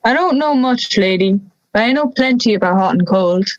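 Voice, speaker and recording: A woman's voice: fairly high pitch, expressive, moderate pace, no room sound, highs cut off, natural voice. A young woman playing a touchy, wry, harmless talking wolf in an audio drama, indignant and teasing. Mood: puzzled, teasing.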